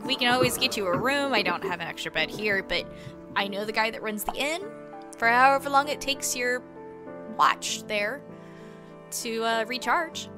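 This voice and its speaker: A woman's voice: on the high side, moderate pace, lightly acted. A woman playing a friendly, secretive small-town reporter who rambles through her stories in an improvised audio drama. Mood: friendly, welcoming. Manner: chatty, rambling storyteller. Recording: music bed behind the voice.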